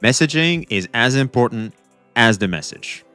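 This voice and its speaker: A young man hosting a casual reaction stream, talking back to a video with excited, repetitive commentary. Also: medium pitch, moderate pace, clear, resonant tone, soft background music. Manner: excitable, talks back to the video, repeats himself.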